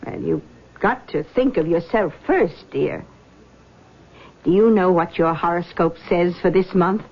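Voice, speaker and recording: A woman's voice: lowish voice, a little slow. An actress playing a doting, meddling, opinionated middle-aged mother in an old-time radio drama. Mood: coaxing. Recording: dull, lo-fi radio recording.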